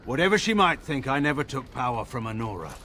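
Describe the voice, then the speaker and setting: Stern, weary general, swooping intonation. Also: male voice, mid-range voice, very animated, sharp tone, slight background noise. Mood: firm, proud. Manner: grave, measured, formal, dryly sardonic. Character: proud, weary, stern middle-aged general and father. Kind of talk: dramatic fantasy game dialogue.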